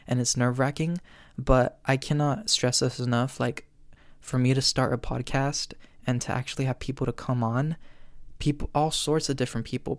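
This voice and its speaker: A young man in his twenties hosts a solo listener Q&A, chatty and earnest, dropping ums and small laughs between thoughts. His voice sounds smooth and clear despite a tired edge that he says can crack. He speaks in a fairly flat, even tone, close to the mic in a dry room. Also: medium pitch, no room sound. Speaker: podcast host. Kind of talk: solo Q&A chat.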